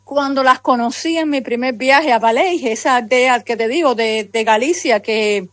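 A high-pitched woman in her fifties speaks with a Cuban accent, wandering through her memories with many asides. The sound is dry and close, with no room around her voice. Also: moderate pace, dry recording. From Cuba. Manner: rambling, warmly conversational, many asides. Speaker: guest author. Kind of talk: remote interview conversation.

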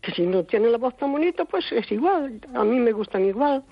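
A woman's voice: on the high side, expressive, a little fast, husky, muffled recording. An older woman calling in to a radio show, chatty and insistent, who repeats herself as she talks.

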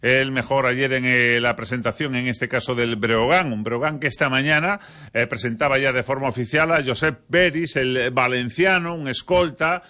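A man with an accent from Spain presenting a sports news bulletin on the radio, with a halting, hesitant delivery. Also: mid-range voice, fairly even intonation, sharp tone. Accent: Spanish, from Spain.

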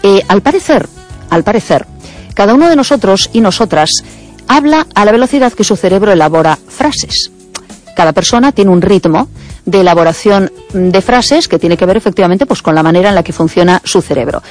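An experienced woman radio host talks fast and presses her point, full of little fillers. Her voice sits mid-range. A faint music bed runs under the broadcast. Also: mid-range voice, lively intonation, darkish tone. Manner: fast-talking, insistent, many fillers. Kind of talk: radio conversation.

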